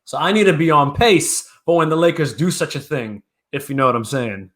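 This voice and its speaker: A young man co-hosting a sports podcast, talking basketball in loud, playful, animated banter. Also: fairly high pitch, brisk. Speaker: podcast co-host.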